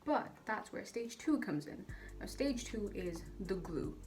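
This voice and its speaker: A woman's voice: mid-range voice, animated, measured pace, a little mellow, faint music behind. A woman in her twenties from Saudi Arabia, talking casually to camera in a chatty, self-deprecating way. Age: 20s. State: tired. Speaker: vlogger. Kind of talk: casual vlog talk to camera.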